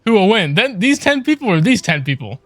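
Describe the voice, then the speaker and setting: Guy live streaming a game, kinda high voice, casual commentary, very dry mic, music in back. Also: big pitch swings, brisk, no room sound. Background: video game music.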